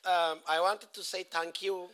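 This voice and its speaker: A man in his forties from Ethiopia, with an Ethiopian accent, preaching a sermon in halting, simple English in short phrases for an interpreter. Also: high voice, flat delivery, fairly bright tone. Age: 40s. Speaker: guest pastor.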